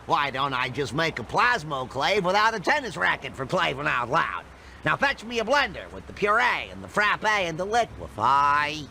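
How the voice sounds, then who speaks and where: A man's voice: fairly high pitch, very animated, moderate pace, vocal fry, pushed and bright, slight background noise, full character voice. A man voicing an eccentric, nerdy middle-aged mad scientist in a cartoon game, nasal and rambling with babbled nonsense words. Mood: excitable rambling.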